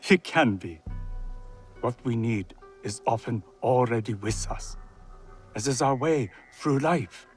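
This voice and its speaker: An actor playing a serene, wise tea master mentor in a video game, measured and philosophical. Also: male voice, mid-range voice, animated, soft background music. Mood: calm. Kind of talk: video game cutscene acting.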